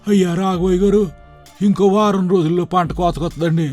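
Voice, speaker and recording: A man's voice: sits high, fairly even intonation, moderate pace, dark tone, faint music behind, lightly acted. A man playing a humble, deferential village farmer with a rural Telugu dialect in a moral story. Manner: respectful, submissive.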